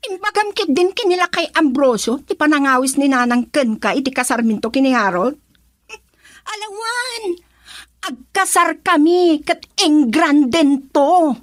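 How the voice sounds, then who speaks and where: An actress with an Ilocano accent playing a defensive young bride-to-be in a radio drama. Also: female voice, high-pitched, animated, measured pace, dry recording, clean studio sound. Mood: indignant. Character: Rosela, young woman about to marry, defensive.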